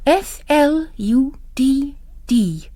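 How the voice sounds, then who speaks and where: A woman voicing a mysterious, self-assured young male cleric who speaks deliberately and enigmatically. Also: high-pitched, expressive, warm tone, dry recording, voice pushed up, full character voice.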